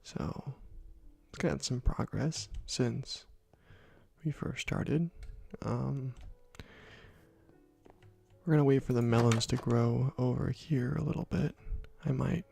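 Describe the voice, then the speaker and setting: Soft-spoken young man, gravelly whisper. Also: medium pitch, soft background music. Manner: soft, slow, often whispered, with frequent ums. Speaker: gaming ASMR channel host. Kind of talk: soft-spoken ASMR gameplay commentary.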